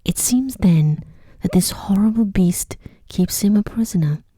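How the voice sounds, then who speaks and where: A woman voicing an innocent, wondering young fairytale heroine in a soft, whispery ASMR storybook reading. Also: medium pitch, expressive, unhurried, slightly rough, dry recording. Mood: puzzled musing. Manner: gentle, musing.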